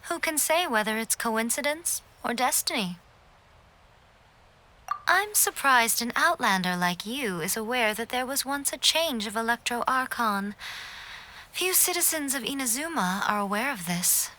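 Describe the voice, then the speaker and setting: A woman voicing a knowing, courteous divine fox familiar in an anime video game, speaking in a measured, formal, gently wry manner. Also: on the high side, expressive, slight background noise. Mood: wry, composed.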